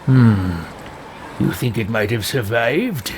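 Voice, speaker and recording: A haughty, suspicious mage, put on by a man, speaks slowly with sweeping, dramatic swings in pitch. The delivery is pompous and imperious, over clear game ambience. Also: expressive, background noise. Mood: cold disdain. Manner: pompous, deliberate, drawn-out words. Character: haughty, suspicious, self-serving male mage, coldly imperious. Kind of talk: improvised video game roleplay.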